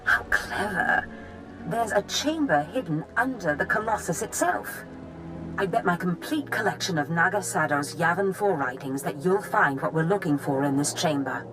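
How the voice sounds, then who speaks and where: Sinister mentor played by a woman: low pitch, theatrical, conspiratorial, game drama with music underneath. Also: expressive, moderate pace, lightly acted, low-quality recording. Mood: excited scheming. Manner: gleeful, conspiratorial, knowing. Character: scheming, theatrical female Sith master. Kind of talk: dramatic video game acting.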